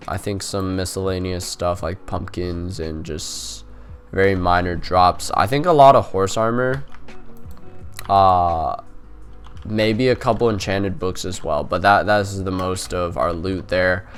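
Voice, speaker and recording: A man's voice: low-pitched, unhurried, smooth, soft background music. A young man with a stuffy, nasal cold, chatting casually as he hosts a gaming video with lots of fillers. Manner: rambling, chatty, many fillers. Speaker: gaming channel host. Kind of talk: casual gameplay commentary.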